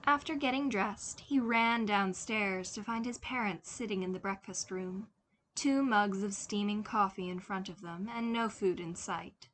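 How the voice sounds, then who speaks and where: A woman reading a scripted story aloud as an expressive fiction narrator. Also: medium pitch, measured pace, a little hiss or hum. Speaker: podfic narrator.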